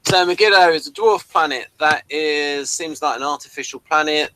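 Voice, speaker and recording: A man's voice: a little high, normal speed, bright, forceful tone, no echo, low-quality recording. A man hosting a casual review chat, asking questions and explaining things to his guest. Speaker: host of a review show.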